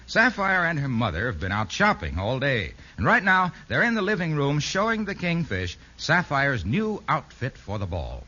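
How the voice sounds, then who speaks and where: A man's voice: a little high, huge pitch swings, normal speed, hardly any pauses, sharp tone, breathy edge. A man announcing and narrating a 1950s radio comedy show in a scripted style. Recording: muffled old radio recording with music. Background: music.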